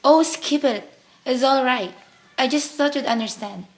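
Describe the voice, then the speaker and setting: A woman's voice: sits high, measured pace. An actress playing an eager, naive, headstrong young woman in love, in a radio play. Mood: indignant, let down.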